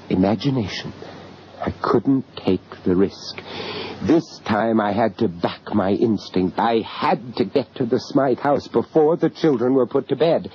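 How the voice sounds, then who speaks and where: A man acting a haunted, anxious British art dealer, narrating a radio horror tale with grave, halting dramatic delivery. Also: medium pitch, close to an everyday voice. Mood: mounting dread. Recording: dull, noisy old radio recording.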